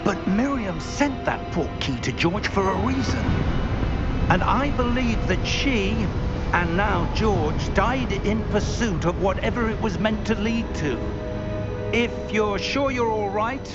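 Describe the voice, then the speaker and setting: A learned middle-aged gentleman wizard in a fantasy game cutscene. He talks with formal, measured politeness and composure. The sound is a bit dull, with loud music underneath. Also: high voice, lively intonation. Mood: grave, composed. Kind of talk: video game cutscene acting.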